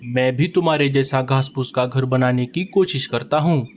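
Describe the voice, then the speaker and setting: A man's voice: mid-range voice, normal speed, darkish tone, a little hiss or hum, close to an everyday voice. A man voicing a friendly male bird in a cartoon story, speaking politely and gratefully.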